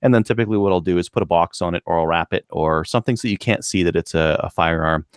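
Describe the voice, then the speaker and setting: A man's voice: deep voice, quick pace, clear, resonant tone, no echo, clean studio sound. A man with a Canadian accent co-hosting a casual podcast discussion, explaining things in a rambling, joking way. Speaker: podcast co-host.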